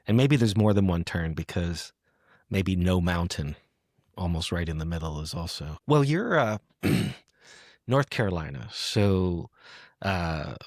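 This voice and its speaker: A man hosting a poetry podcast, talking and reading in a reflective, halting conversational way. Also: deep voice, no echo, studio quality. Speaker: podcast host. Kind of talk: conversational poetry interview with read-aloud poems.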